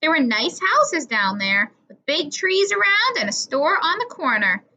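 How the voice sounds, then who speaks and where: Woman reading as an outspoken, high-voiced folksy grandmother. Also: sing-song, measured pace, pushed and bright, full character voice. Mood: fond, reminiscing. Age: older. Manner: plainspoken, opinionated, rambling. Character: outspoken, folksy old grandmother reminiscing to a classroom. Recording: dull lo-fi recording.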